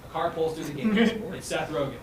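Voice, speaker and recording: A man's voice: on the high side, animated, brisk, background noise. A man narrating a script at a live read-through, stumbling now and then and prone to laughing. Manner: stumbles over lines, breaks into laughter. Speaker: narrator reading stage directions at a table read. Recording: roomy, distant mic, music behind.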